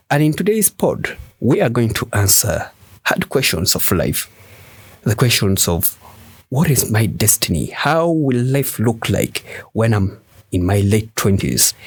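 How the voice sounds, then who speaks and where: Man, podcast host, deep-ish husky voice, very slow deliberate delivery, dry close sound. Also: dry recording. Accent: West African English. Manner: slow, emphatic, preacher-like delivery with repetition. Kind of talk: scripted motivational monologue.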